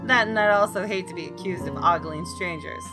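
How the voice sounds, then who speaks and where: A woman gamer reading a visual novel's first-person story aloud as expressive narration. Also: on the low side, brisk, over music.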